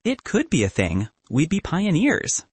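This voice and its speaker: A man's voice: fairly high pitch, huge pitch swings, measured pace, breathy edge, voice pushed up, exaggerated voice. A man voicing a nerdy young guy physics student, awkward and a little defensive, dry humor, huskyish voice, no echo at all.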